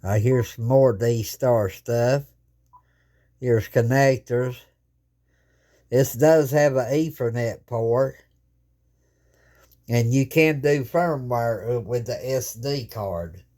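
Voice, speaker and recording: A man's voice: medium pitch, slow, pauses often, mellow. A man who runs ham radio, casually showing off his gear at his home radio desk in a folksy, rambling way, recorded on a handheld phone camera. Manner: rambling, folksy, plain-spoken with many restarts.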